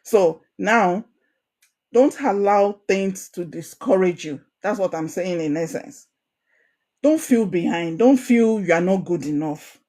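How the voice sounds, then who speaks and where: A young Nigerian woman with a proud Ijesha accent leads an online coaching session for a women's group. She speaks in an animated, encouraging way and keeps repeating key phrases for emphasis. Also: fairly low pitch, slow. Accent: Nigerian, Ijesha Yoruba. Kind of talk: online course talk.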